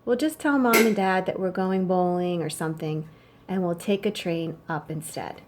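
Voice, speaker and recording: A woman's voice: lowish voice, moderate pace, close to an everyday voice. A woman voicing a young boy in a children's storybook, a blunt, money-minded and eager little brother. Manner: blunt, matter-of-fact.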